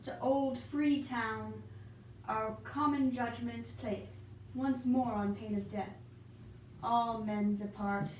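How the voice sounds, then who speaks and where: A woman's voice: a little high, fairly even intonation, takes it slowly. A woman voicing a stern, angry prince, declaiming in an amateur Shakespeare puppet show. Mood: stern, commanding. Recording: echoey room, distant mic, background hiss.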